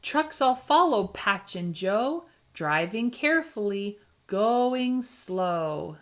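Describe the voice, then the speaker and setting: A woman reading a children's picture book aloud with expressive, rhythmic phrasing and dramatic emphasis for young listeners. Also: mid-range voice, slow pace. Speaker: children's story reader.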